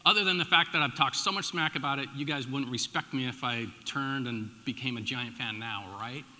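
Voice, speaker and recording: A middle-aged American man preaching as a pastor, telling personal stories in an informal, animated, conversational way with emphatic swings and dropped asides. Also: mid-range voice, fairly quick, slight background noise. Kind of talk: conversational sermon with personal storytelling. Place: large church room, addressing a congregation. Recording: echoey hall with long reverb.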